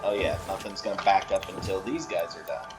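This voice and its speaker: A man's voice: low-pitched, a little fast, music in the background. A man giving casual, chatty commentary while he plays a video game, laughing often. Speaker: gameplay creator.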